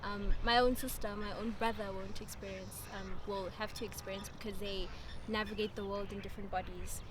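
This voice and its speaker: A woman's voice: medium pitch, steady tone, brisk, background noise. A woman in her twenties from South Africa, speaking in a documentary interview, thoughtful and frank, laughing at herself now and then. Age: 20s.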